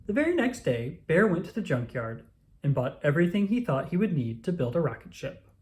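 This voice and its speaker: A storyteller reading a children's picture book aloud with expressive narration. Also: medium pitch, fairly quick.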